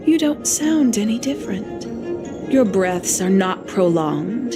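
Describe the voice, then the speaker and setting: Game role: solemn mistress of disciples, middle pitch, unhurried and stately, quietly commanding. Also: female voice. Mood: probing. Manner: measured, formal, deliberate. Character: serene, solemn female cult master of disciples, gently imperious. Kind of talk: dramatic video game voice acting. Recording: game dialogue with loud background music.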